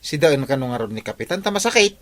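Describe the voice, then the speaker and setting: A man's voice: fairly high pitch, sing-song, fast, a bit bright. A man playing a fussy, reluctant village errand helper in a comedy radio drama. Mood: protesting.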